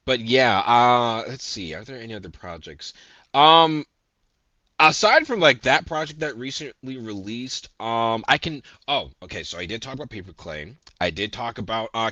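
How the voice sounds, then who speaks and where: A man talking as a guest voice actor in a casual interview, animated and rambling with lots of fillers. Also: lowish voice, no echo, highs cut off.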